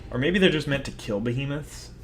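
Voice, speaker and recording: A man's voice: medium pitch, lively intonation, fairly quick, a bit bright, slight background noise. A young man giving rambling, self-mocking commentary over his own card game, talking casually to viewers. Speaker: gaming video host.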